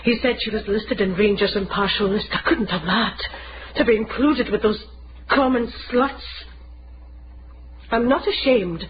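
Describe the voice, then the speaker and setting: A woman's voice: mid-range voice, unhurried, close to an everyday voice. An actress playing a proud, scornful, wealthy lady in a period radio drama, with a haughty, indignant manner. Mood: scornful, unashamed. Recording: muffled, noisy old radio drama recording.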